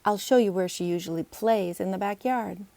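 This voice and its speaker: An older small-town mayor from a children's tale, voiced by a woman, kindly and anxious. He speaks politely and earnestly in a soft, creaky tone. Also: mid-range voice, normal speed, no echo, natural voice. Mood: grateful.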